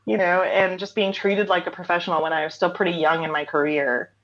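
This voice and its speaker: Woman, forties, low voice, chatty storyteller, muffled lo-fi audio. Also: little pitch movement, a little fast, a bit bright. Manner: chatty, laughs often, storytelling. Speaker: guest, singer-songwriter. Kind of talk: casual interview chat.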